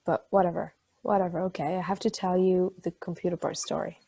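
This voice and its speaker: A woman's voice: fairly low pitch, fairly quick, creaky, husky. A woman playing a playful, chatty married ex-athlete in a casual, conversational stage play table read. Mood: chatty storytelling. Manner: casual, swearing, animated storytelling.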